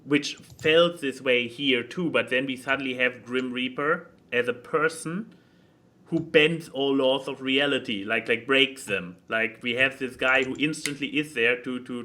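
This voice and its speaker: A man's voice: mid-range voice, a little slow, pushed and bright. A man chatting casually about a book, rambling and repeating words as he thinks aloud. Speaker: podcast speaker reviewing a book. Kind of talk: casual opinionated chat.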